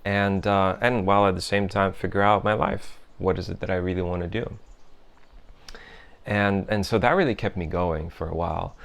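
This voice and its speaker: A young man with a deep, resonant voice talks through his own journey in a calm personal monologue. He speaks thoughtfully and unhurriedly, pausing on fillers and starting phrases over as he gathers his thoughts. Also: creaky. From Europe, raised in several countries. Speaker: host.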